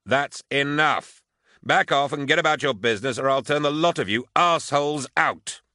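Man acting a deep, wry military commissar. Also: expressive, normal speed, fairly bright tone, no echo. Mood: angry, barking. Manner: dry, sardonic, authoritative. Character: gruff, wry, commanding male military commissar. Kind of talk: audiobook character acting.

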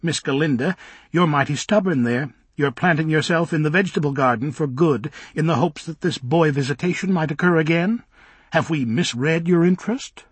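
A man's voice: fairly high pitch, moderate pace, slightly rough, dry recording, pitched above the performer's own voice. A man voicing a fussy middle-aged woman minder who scolds in rustic, broken grammar. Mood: stern.

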